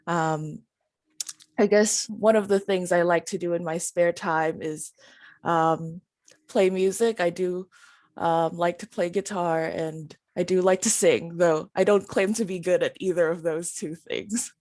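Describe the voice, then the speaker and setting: Dry-sounding low-voiced woman advisor. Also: steady tone, unhurried, darkish tone, dry recording. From Philippines. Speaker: academic advisor. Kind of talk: webinar self-introduction. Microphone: video call.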